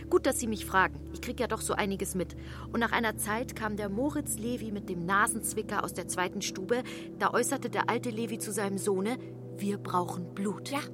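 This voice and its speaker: A woman's voice: mid-range voice, natural voice. An actress playing a spiteful, self-important gossiping townswoman in a radio drama, emphatic and sanctimonious. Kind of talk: German radio drama acting. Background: music.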